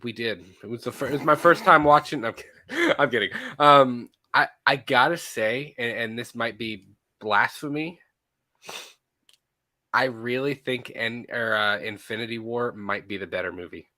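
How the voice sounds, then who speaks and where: A man co-hosting a casual movie podcast, a little stuffy from allergies, animated and quick to laugh, often restarting his sentences. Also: mid-range voice. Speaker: podcast co-host. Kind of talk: casual chat.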